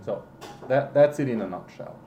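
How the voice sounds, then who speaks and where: A man's voice: mid-range voice, animated, moderate pace, reverberant, highs cut off. Man, foreign accent, hesitant, full of ums, faint background hiss. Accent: foreign-accented English. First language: not English. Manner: hesitant, many ums and restarts. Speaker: interviewee. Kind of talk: casual recollection.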